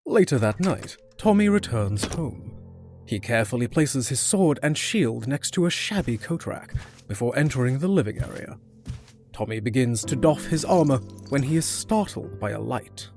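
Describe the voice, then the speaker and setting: A man's voice: medium pitch, expressive, moderate pace, darkish tone. A man narrating a scripted fantasy audio drama in the present tense, as a storyteller. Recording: music under the voice.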